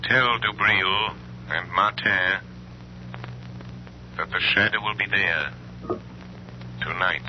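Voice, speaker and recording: A man playing a mysterious, grave crime-fighting avenger in an old-time radio drama, speaking slowly and ominously. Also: low voice. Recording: dull, lo-fi old radio recording.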